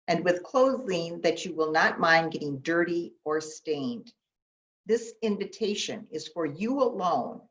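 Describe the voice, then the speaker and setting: A woman pastor leading a guided meditation in an unhurried, gentle manner with long pauses, speaking in short instructions. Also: fairly low pitch. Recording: dull, lo-fi sound.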